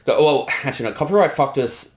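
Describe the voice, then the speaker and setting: A man giving casual director's commentary in a rambling, halting way with some swearing. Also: mid-range voice, lively intonation.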